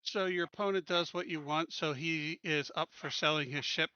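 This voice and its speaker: A man chatting casually as a player in a tabletop roleplaying game, joking in a relaxed way. His voice is bright and sits a bit high. The recording is dry and dull. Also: normal speed, smooth, no echo.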